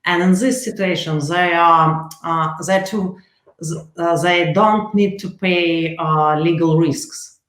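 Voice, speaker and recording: A woman's voice: lowish voice, fairly even intonation, fairly bright tone. Russian-accented woman in echoey room. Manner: halting, searching for words, repeats herself. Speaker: journalist, panel guest. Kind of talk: panel discussion. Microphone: remote video call.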